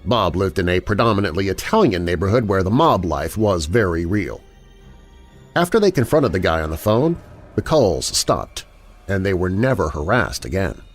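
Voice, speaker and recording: A man reading first-person scary stories as a narrator, in a dramatic, expressive storytelling manner. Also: deep voice, moderate pace. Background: background music.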